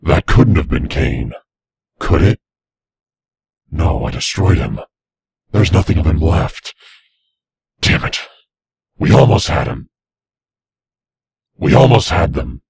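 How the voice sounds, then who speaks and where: A man voicing a gruff, threatening supernatural skeletal bounty hunter in an amateur audio drama, with a deep gravelly voice. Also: sing-song, slow, long pauses, darkish tone, no echo, studio quality, full character voice. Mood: frustrated, wary. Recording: music behind the voice.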